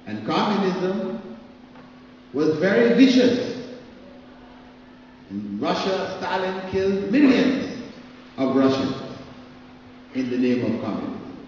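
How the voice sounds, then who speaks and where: A middle-aged man giving a public lecture at a podium microphone in a large hall, speaking slowly with long pauses between phrases. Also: sits high, big pitch swings, darkish tone, a little hiss or hum. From Canada. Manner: slow, deliberate, pausing between phrases. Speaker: Islamic lecturer. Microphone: podium microphone on stand. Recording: echoey hall, distant, dull audio.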